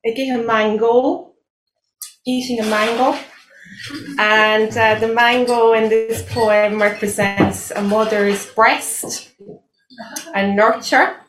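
A woman's voice: on the high side, flat delivery, takes it slowly, long pauses, faint music behind. A woman with an Irish accent, a native Irish speaker, giving a live poetry reading with hesitant spoken introductions. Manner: hesitant, many fillers between readings. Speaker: poet. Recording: roomy distant live recording, faint noise.

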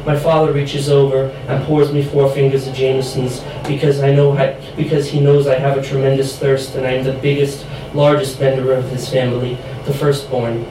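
Even-toned young man, very echoey room. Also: mid-range voice, moderate pace, few pauses. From United States. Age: young adult. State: getting over a chest infection, voice going. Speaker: poet. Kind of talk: reading own poem aloud at a live event. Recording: very echoey room, distant mic, clear noise.

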